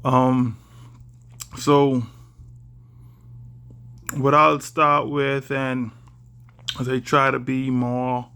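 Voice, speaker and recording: A young man from the Bahamas with a Bahamian accent, talking to camera in a halting, careful way with many fillers. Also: medium pitch, level tone, pushed and bright, clear, resonant tone. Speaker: vlogger. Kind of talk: casual talk to camera.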